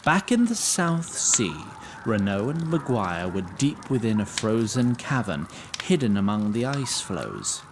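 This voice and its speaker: A man narrating a fantasy audio drama in a measured, literary storytelling manner with wry touches. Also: lowish voice, very animated, background noise.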